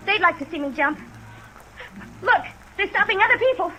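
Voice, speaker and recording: A woman's voice: extremely high pitch, normal speed, slight background noise, heavily acted, low-quality recording. An actress playing a desperate, bitter, fearful young woman in a 1950s radio drama, emotional and plaintive, on a vintage recording. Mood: agitated, defiant. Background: steady rain sounds.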